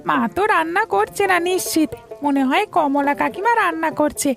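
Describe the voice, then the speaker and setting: A woman's voice: very high voice, normal speed, vocal fry, soft background music. A woman voicing a small, hungry, pleading village child in a cartoon story. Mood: eager, craving.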